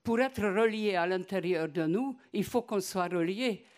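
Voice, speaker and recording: An older woman whose first language is not French, asking a long question from the audience at a conference in a hesitant, halting way with many restarts. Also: medium pitch, darkish tone.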